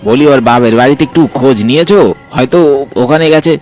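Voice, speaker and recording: A man plays a concerned villager in a horror cartoon. His medium-pitched voice is earnest and a little hesitant. Faint music plays behind him. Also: quick pace, darkish tone, lightly acted.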